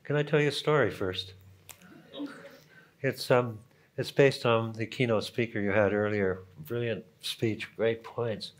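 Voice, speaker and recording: A man with a Canadian accent speaking on a conference panel, telling a relaxed anecdote full of asides. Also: on the low side, a little slow. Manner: relaxed storytelling with asides and soft chuckles. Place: conference hall.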